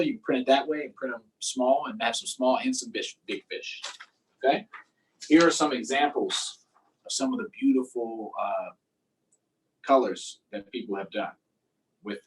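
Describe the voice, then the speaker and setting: A man's voice: medium pitch, normal speed, distant mic, slight background noise, rough recording. A man hosting a storytime, reading aloud and chatting simply and encouragingly with young children. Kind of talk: read-aloud and casual chat to young children.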